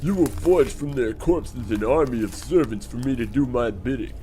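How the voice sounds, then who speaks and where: A man's voice: medium pitch, moderate pace, dark tone, soft background music, close to an everyday voice. A man playing a grandiose, commanding monster villain in a comic radio play. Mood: pompous, gloating. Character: pompous, villainous male monster posing as Santa. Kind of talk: parody radio play acting.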